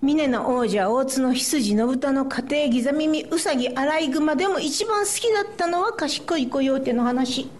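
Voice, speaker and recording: A woman from Japan, a poet speaking English with a Japanese accent and Japanese as her first language. She talks haltingly with many fillers and laughs, then reads her poems aloud at a live festival reading. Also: high voice, expressive. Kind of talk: live poetry reading with chatty introduction. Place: festival stage before an audience.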